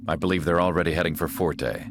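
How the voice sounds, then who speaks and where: An actor playing a grave, knowing man in a game cutscene, speaking in a measured way. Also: low voice, background noise.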